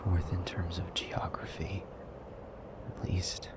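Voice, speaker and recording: Man acting a hushed, deep-voiced brooding demon lover. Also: level tone, in a whisper. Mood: brooding, weary. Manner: hushed, breathy, measured, brooding delivery. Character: brooding male demon lover, tender, sardonic, wounded. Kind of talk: intimate audio roleplay acting.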